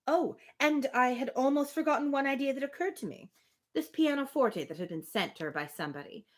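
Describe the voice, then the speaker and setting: A woman reading a gently persistent married woman from a Regency novel, formal, teasing and persuasive. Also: on the high side.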